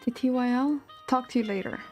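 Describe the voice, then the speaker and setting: A woman's voice: fairly high pitch, brisk, a little mellow, soft background music. A woman hosting a casual chat in an easygoing way. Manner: easygoing, laughs along. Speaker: channel host.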